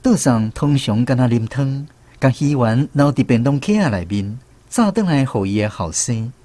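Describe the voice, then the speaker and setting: A man's voice: medium pitch, animated, normal speed, mellow. A man narrating a radio drama in the first person, reminiscing like a storyteller with a Taiwanese accent.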